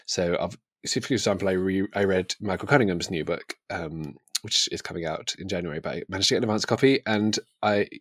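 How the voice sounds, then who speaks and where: Male book podcaster, deep voice, thoughtful conversational delivery, dry close sound. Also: brisk, hardly any pauses, slightly rough, no echo. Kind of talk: casual book discussion.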